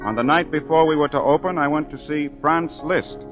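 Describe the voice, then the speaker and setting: A man's voice: fairly high pitch, muffled recording, natural voice. Actor as earnest man narrating a radio mystery. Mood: earnest, purposeful. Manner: measured, dramatic storytelling delivery. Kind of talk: 1940s radio drama narration and acting. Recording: 1940s radio broadcast with background music.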